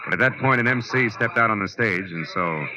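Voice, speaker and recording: A man's voice: on the low side, a little fast, heavy background noise, close to an everyday voice. An actor playing a wry, hard-boiled American private detective, narrating his case in a terse, sardonic way in a 1940s radio drama. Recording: muffled old radio recording with loud music.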